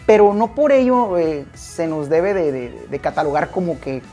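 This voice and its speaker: A man's voice: on the high side, animated, moderate pace, warm tone, soft background music. A man in his thirties with a Sonoran Mexican accent, speaking with stumbling restarts in a good-humored interview. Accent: Sonoran Mexican Spanish. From Mexico, Ciudad Obregón, Sonora. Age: 30s.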